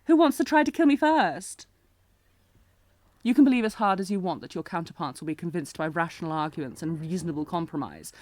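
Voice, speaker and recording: A sardonic knight bodyguard played by a woman from a fantasy audio roleplay. Her female voice sits a little low, in a dry, echo-free recording. She is taunting and commanding, fiercely protective and intense. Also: expressive, a little fast, a little mellow, natural voice. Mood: mocking, exasperated. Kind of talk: scripted fantasy audio roleplay, acted one-sided dialogue.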